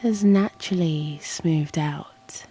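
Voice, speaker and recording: Very slow, hushed woman guiding meditation. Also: sits low. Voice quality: soft and breathy, often near a whisper. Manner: soothing, hushed, gently encouraging. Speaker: yoga nidra guide and channel host. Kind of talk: guided meditation. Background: soft music.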